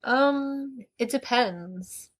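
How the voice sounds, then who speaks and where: A woman's voice: high voice, drawn-out words. A woman co-hosting a podcast, chatting casually about a book in a wry, opinionated way. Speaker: podcast co-host.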